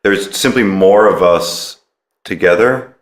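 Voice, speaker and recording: A man's voice: deep voice, slow pace. A man hosting a podcast, interviewing conversationally in a tentative way, rephrasing as he goes.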